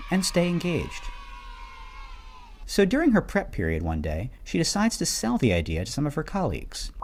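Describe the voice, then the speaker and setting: A man's voice: a little high, a little mellow. A man reading a scripted explainer narration in a playful storytelling way, with emphatic stresses. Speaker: narrator of a teacher training video.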